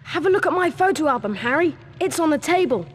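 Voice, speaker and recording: An excitable young British boy in a video game. The high voice leaps around with eagerness and carries a long reverberant tail. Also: fast talker. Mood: eager.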